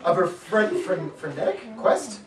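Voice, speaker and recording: A woman's voice: fairly low pitch, big pitch swings, a little slow. A woman theatrically narrating stage directions in a comic script reading, breaking off into playful asides. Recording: echoey room, far-off camera mic, crowd.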